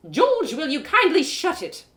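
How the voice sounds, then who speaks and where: A woman's voice: high voice, wildly swooping pitch, a little slow, fairly bright tone, voice pushed up, full character voice. A woman voicing a genteel, authoritative noblewoman in a fantasy audio drama, speaking formally and with firm courtesy. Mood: indignant. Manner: formal, lofty, commanding.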